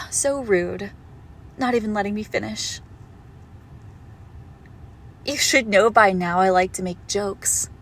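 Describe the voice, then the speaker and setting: A woman playing a possessive monstrous girlfriend in an intimate horror roleplay, condescending and darkly affectionate, with deliberate, drawn-out phrasing. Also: medium pitch, fairly bright tone, slight background noise. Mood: teasing menace. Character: possessive monstrous girlfriend with shadow powers, sweetly menacing. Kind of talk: intimate horror roleplay audio, slow and hushed.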